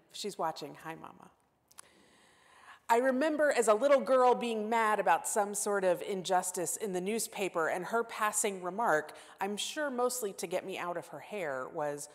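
Woman preaching in a reverberant sanctuary. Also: medium pitch. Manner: earnest, self-deprecating, swings from emphatic to hushed. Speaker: guest preacher. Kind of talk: sermon. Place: church sanctuary. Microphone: lapel mic. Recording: long church-hall reverb.

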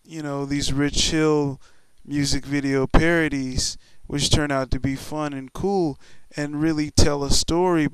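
A man's voice: a little high, steady tone, measured pace, a little hiss or hum, poor audio. A man talking casually and enthusiastically in a rambling, interview-like way about his creative work. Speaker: music video maker. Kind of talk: casual interview-style talk.

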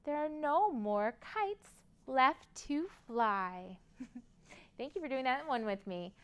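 A woman's voice: sits high, lively intonation, slow, clean tone, highs cut off. An American woman in her thirties leading a children's storytime in a sing-song, exaggerated way for little kids. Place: library room, indoors. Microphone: lapel.

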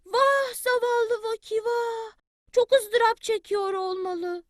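Woman doing a high, eager little chick. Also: fairly even intonation, slow pace. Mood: worried.

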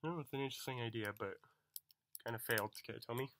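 A young man talks through a toy unboxing with eager, chatty energy and a bright, forward tone. He is coming down with a cold. It sounds like a camera mic in a small room at home. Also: mid-range voice, fast, a little hiss or hum. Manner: excited, chatty, self-deprecating. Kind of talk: casual unboxing commentary.

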